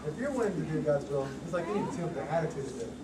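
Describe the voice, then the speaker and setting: A man's voice: a little high, very animated, rapid-fire, over a noisy crowd. A man playing an earnest, mock-formal airport checkpoint official in an amateur church puppet show. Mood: officious, wry. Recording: distant echoey recording with loud noise.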